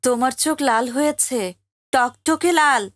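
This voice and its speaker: A woman's voice: high-pitched, a little slow, fairly bright tone, no echo. An actress playing an anxious, superstitious village wife in an audio drama, drawing out her emotive lines. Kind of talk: Bengali audio drama acting.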